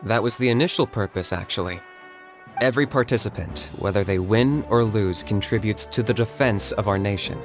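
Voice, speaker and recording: A reserved young warrior played by a man speaks in a lowish voice with clear music behind him. He is a calm, serious game character who explains things in a measured, matter-of-fact way. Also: close to an everyday voice. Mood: serious explaining. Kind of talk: video game voice acting.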